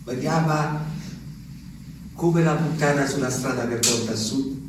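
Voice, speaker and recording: A man's voice: mid-range voice, a little slow, lightly acted. An actor playing a lonely old peasant man, musing aloud to the moon in a theatrical monologue. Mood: wistful. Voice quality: musing, talks to the moon, rambling. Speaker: stage actor. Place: theatre stage. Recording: distant stage recording with reverb and faint noise.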